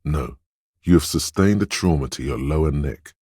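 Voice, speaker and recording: A man voicing a suave, wry inner voice of a detective in a video game, narrating in a measured, knowing way. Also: rumbling low, mellow, slightly rough, no room sound, studio quality. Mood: sly, urging. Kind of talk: second-person game narration.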